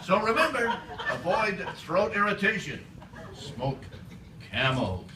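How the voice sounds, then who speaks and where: A man acting as an old-time cigarette pitchman, emphatic and drawn out. His high, lively voice comes from far off in an echoey room. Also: takes it slowly, exaggerated voice. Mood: selling. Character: smooth-selling, emphatic male vintage cigarette announcer. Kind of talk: old advert parody. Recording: distant, echoey stage with noise.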